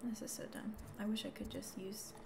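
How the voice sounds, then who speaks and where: A woman's voice: mid-range voice, flat delivery, a little fast, vocal fry, noisy background. A woman giving casual, chatty live commentary as she plays a video game, self-deprecating and mildly exasperated. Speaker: game streamer. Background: video game music.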